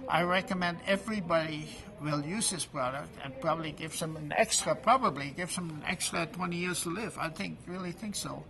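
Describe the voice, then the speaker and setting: A man in his late seventies gives an informal testimonial at a trade event, talking haltingly and often restarting words with fillers. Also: on the high side, vocal fry, background noise. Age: 70s. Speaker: product promoter at an event booth.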